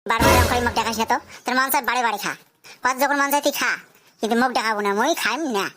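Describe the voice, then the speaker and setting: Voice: medium pitch, rapid-fire, sharp tone, close to an everyday voice. A performer voicing a spoiled, stubborn teenage son in a comedy cartoon, whining and arguing in a North Bengal accent. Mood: sulky, annoyed. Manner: whining, argumentative.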